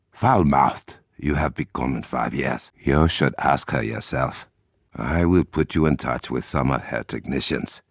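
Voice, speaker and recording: An actor playing a dignified Wakandan warrior king in a video game, with an African accent, a gravelly husky voice and formal, measured, dryly witty phrasing. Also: extremely low pitch, no room sound, clean studio sound, exaggerated voice. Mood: dry, reproving. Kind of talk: video game character dialogue.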